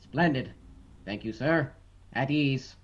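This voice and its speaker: A man's voice: mid-range voice, takes it slowly, dull audio, natural voice. A man acting in a radio play as a hearty, bombastic nephew who believes he is a president. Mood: hearty, commanding. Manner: bombastic, hearty barking delivery. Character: booming deluded nephew who believes he's President Roosevelt.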